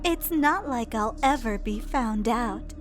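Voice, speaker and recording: A woman playing the ghost of a dead girl in a game dub, cryptic and coldly taunting, speaking in a measured, ominous, breathy whisper. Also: on the high side, expressive. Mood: insinuating. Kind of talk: dramatic video game dub acting. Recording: background music under the voice.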